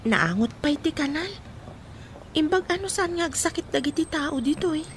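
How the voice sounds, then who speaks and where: A woman's voice: sits high, animated, moderate pace, slight background noise. A woman playing a dutiful young student new to the city, in a radio drama. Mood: displeased. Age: young adult. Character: dutiful young female student, reassuring but a bit fussy.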